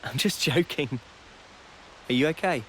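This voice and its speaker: A man's voice: mid-range voice, unhurried, a bit bright, a little hiss or hum, natural voice, low-quality recording. A man playing an easygoing, frank young comrade in a video game, casual and direct. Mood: joking. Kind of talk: video game cutscene acting.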